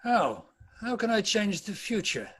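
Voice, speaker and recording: Troubled old miser, played by a man, high-pitched and husky, for a holiday drama read. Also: very animated, unhurried, a bit bright, heavily acted. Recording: remote video-call recording.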